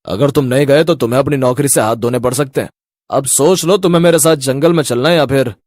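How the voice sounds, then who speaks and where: A man's voice: mid-range voice, fast talker, darkish tone, no room sound, clean studio sound, natural voice. Man playing a firm, brave inspector. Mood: stern. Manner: firm, resolute. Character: brave, determined male police inspector. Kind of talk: Hindi horror cartoon voice acting.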